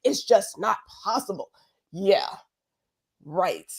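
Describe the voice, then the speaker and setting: A woman's voice: mid-range voice, animated, slow, darkish tone, slightly rough. A woman guest speaking emphatically and passionately in a casual online talk show. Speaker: guest co-host. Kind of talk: casual opinionated chat.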